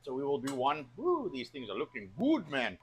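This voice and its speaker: A man's voice: mid-range voice, huge pitch swings, normal speed, poor audio. A South African man hosting a cooking stream, chatting casually and joking with filler words while he cooks. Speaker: cooking show host.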